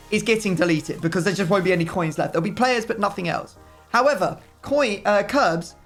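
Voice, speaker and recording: Hoarse man, excitable gaming host. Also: sits high. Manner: excitable, groaning, laughing in disbelief. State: hoarse, voice strained. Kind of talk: casual gaming commentary.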